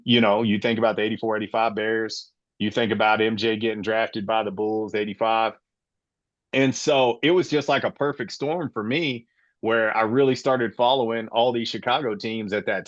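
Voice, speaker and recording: A man's voice: mid-range voice, dry recording, dull audio. A man in his late forties from Florida, hosting a casual sports podcast and telling his own story with passion. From Florida, USA. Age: 40s. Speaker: podcast host.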